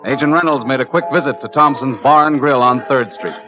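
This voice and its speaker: Male lawman narrator played by an actor, mid-pitched, factual case-report delivery, vintage radio with music behind. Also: brisk, dull audio, lightly acted. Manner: matter-of-fact, procedural. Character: matter-of-fact male FBI agent narrating his case. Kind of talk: old-time radio crime drama narration.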